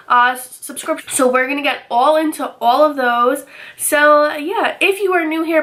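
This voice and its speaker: Young woman unboxing stuff in her bedroom, bit raspy from a cold, bright tense voice, kinda high. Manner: chatty, upbeat, rambling. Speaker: beauty vlogger. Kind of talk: casual unboxing chat. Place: bedroom, indoors.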